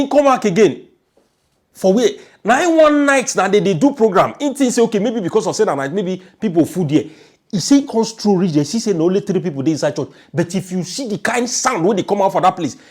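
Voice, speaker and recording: Animated high-voiced Nigerian man. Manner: animated, emphatic, repeats phrases. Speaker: online news commentator. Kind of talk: informal storytelling commentary.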